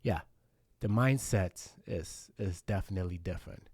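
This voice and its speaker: A man's voice: low-pitched, moderate pace, darkish tone, husky, no echo, clean studio sound. A man hosting a casual podcast conversation, reflective and thinking aloud with many fillers. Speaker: podcast host.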